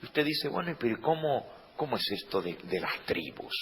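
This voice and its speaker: Preacher, man, mid-pitched, unhurried delivery that swells into loud emphatic declarations; long hall reverb. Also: big pitch swings, background noise. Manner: rising to loud emphatic peaks, asking the congregation questions. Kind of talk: sermon.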